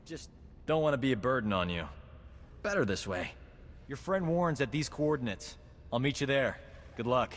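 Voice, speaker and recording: A man's voice: mid-range voice, lively intonation, moderate pace, pauses often, a little hiss or hum, natural voice. A man playing a flirtatious, roguish medic in a video game, with a suave, breezy swagger. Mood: brisk. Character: cocky, flirtatious roguish male medic companion. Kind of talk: video game cutscene acting. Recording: long hall reverb, faint game music.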